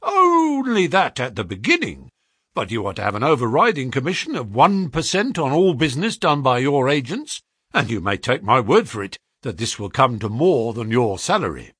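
A man voicing a brisk, sharp-talking middle-aged male financier in a read-aloud story, speaking eagerly and quickly. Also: mid-range voice, wildly swooping pitch, husky, no room sound, clean studio sound, exaggerated voice.

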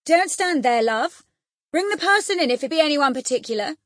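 A woman voicing a peevish, scornful young gentleman husband in an audiobook, cross and fussy. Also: mid-range voice, expressive, fairly quick, breathy edge, no echo, clean studio sound. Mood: brisk. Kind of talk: audiobook character acting.

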